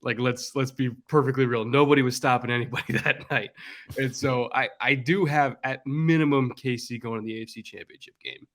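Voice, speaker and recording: A man's voice: mid-range voice, fairly even intonation, a little fast. A man as a guest analyst on a sports podcast, explaining his opinions confidently and at length. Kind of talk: casual sports analysis chat.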